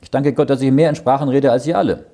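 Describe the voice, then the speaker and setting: A man's voice: medium pitch, fairly even intonation, fast, mellow. A man leading a Bible study. He reads verses aloud, explains them in plain words and often checks with "ja?". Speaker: Bible teacher.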